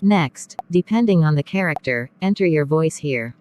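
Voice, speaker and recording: A narrator reading a scripted step-by-step software tutorial. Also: medium pitch, animated, moderate pace.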